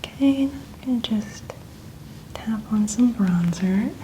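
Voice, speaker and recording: A woman speaking in a soft, breathy half-whisper, gently and casually explaining as an ASMR makeup artist at work. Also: on the high side, slow, slight background noise, low-quality recording.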